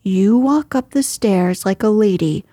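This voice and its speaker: A weary older housekeeper fusses and scolds with exasperation, read by a woman. She speaks slowly in a soft, mellow voice. Faint music plays under the close, dry sound. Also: mid-range voice, no room sound, natural voice. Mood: exasperated. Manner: exasperated, fussing.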